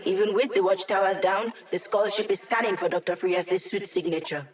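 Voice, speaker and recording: A woman's voice: medium pitch, fairly even intonation, lightly acted. An actress voicing a witty young female hacker in a video game, delivering sly, teasing quips to her team. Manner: wry, teasing, quick quips. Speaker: hacker support character.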